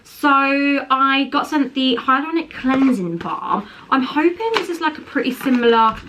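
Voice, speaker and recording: A woman's voice: high voice, moderate pace, noisy background. Chatty young woman, a bit wheezy. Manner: chatty, rambling, self-correcting. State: wheezy from a chest infection. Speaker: vlogger. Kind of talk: casual chatty vlog. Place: home, indoors.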